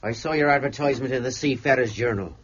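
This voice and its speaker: An actor playing a troubled, plain-spoken sailor in an audio drama, guarded and respectful. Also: male voice, mid-range voice, quick pace, fairly bright tone, lightly acted. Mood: wary, hopeful. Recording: muffled, noisy lo-fi audio.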